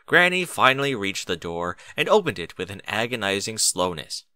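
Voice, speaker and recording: A man reading a story aloud as an expressive narrator. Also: lowish voice, moderate pace, clean tone, no room sound. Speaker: narrator of a fan fiction reading.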